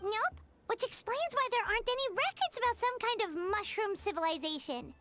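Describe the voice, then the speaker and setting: A woman voicing an excitable, quippy young female companion in a game. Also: very high-pitched, moderate pace, over music. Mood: musing. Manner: animated, quippy.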